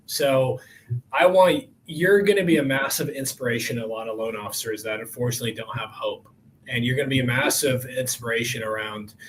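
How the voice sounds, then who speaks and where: A man's voice: medium pitch, a bit bright, a little hiss or hum, rough recording. A man in his forties hosting a coaching podcast, talking in an emphatic, motivational way. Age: 40s. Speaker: podcast host.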